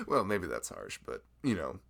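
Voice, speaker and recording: A man playing a chatty, gravelly-voiced florist. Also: lowish voice, exaggerated voice. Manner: rambling, self-deprecating, laughs often. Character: chatty nerdy young male florist, friendly. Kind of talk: ASMR roleplay.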